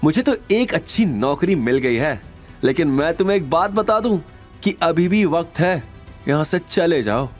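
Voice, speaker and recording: Arrogant young rival for audio drama, male performer: high, animated, mocking bully who jeers and talks down to everyone. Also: moderate pace, faint music behind, close to an everyday voice. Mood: indignant, dismissive. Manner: jeering, taunting, indignant. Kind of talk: Hindi audio drama acting.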